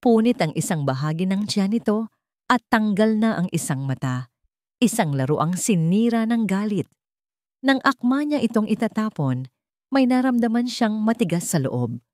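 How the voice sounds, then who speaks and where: A woman narrating a scripted audio drama in a measured, suspenseful storytelling manner. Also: lowish voice, a little mellow, dry recording, studio quality.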